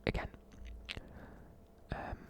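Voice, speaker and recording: A man, whispering softly in a chatty, rambling way while explaining words. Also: extremely slow. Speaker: ASMR creator. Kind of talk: whispered ASMR explaining slang.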